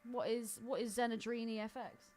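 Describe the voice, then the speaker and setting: A woman's voice: a little high, fairly even intonation, brisk, a little mellow, soft background music. A young British woman co-hosting a podcast, joking crudely and irreverently in casual film chat. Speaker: podcast co-host.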